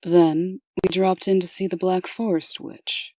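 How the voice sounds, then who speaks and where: A woman plays a composed, efficient deputy in a comic drama. She speaks very low for a woman, in measured, formal sentences with a dry wit, in a dry, close recording. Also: level tone, mellow, dry recording. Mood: dry, businesslike.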